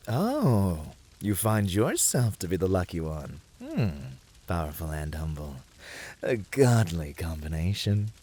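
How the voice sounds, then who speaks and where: A man playing a flirty, devoted incubus demon in an ASMR roleplay, teasing his master with effusive flattery. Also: deep voice, exaggerated voice. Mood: smooth, teasing.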